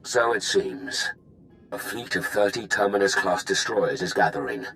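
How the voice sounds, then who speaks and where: Deep, imperious Sith warlord. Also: male voice, expressive, gravelly, a bit bright, faint music behind, poor audio. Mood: triumphant resolve. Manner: solemn, imperious, measured. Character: grave, commanding male Sith warlord, proud and ruthless. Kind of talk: dramatic video game acting.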